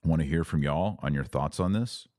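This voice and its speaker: A man's voice: very deep voice, fairly quick, dark tone, dry recording, clean studio sound. A man hosting a podcast, giving news commentary as a monologue with emphatic phrasing and informal asides.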